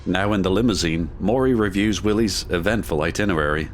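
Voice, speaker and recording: A man narrating a scripted audio drama in a measured, dramatic manner. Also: low voice, heavy background noise.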